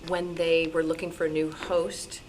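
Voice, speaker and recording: A woman's voice: sits low, little pitch movement, normal speed, a little hiss or hum. A woman talking informally at a club meeting, modest and hesitant as she explains things.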